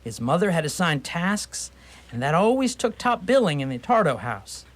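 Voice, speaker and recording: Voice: medium pitch, sing-song, moderate pace. A narrator reading scripted storytelling for a radio theatre play, with wry dramatic timing.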